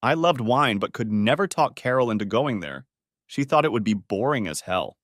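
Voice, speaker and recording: A man's voice: medium pitch, expressive, moderate pace, a bit bright, no echo, studio quality. A man reading a scripted first-person story as its narrator.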